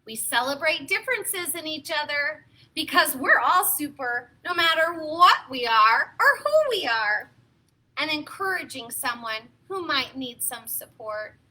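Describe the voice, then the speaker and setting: Bright, high-voiced woman hosting children's story time. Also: unhurried, low-quality recording. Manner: encouraging, expressive, simple phrasing for small children. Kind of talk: read-aloud and talk to young children.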